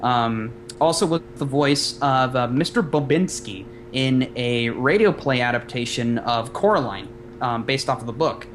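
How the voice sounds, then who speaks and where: A man's voice: mid-range voice, a little slow, hardly any pauses, a little hiss or hum. A man chatting as a guest on a podcast, hesitant and full of fillers, now and then dropping into character voices. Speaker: guest voice actor. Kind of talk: casual podcast chat.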